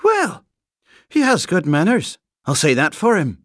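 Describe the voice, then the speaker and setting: A stout older housekeeper acted out by a storyteller speaks in a very high, swooping voice. She is fussy, blunt and fierce with a motherly streak, exclaiming her way through a storybook scene. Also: sing-song, normal speed, dry recording, studio quality, close to an everyday voice. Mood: suspicious, doubtful.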